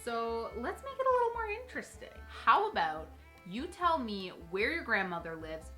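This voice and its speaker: A sly storybook wolf, acted out by a woman. The voice is bright and fairly high, full of coaxing and scheming, with light music behind it. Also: huge pitch swings, normal speed, full character voice. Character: sly hungry male wolf posing as little girl.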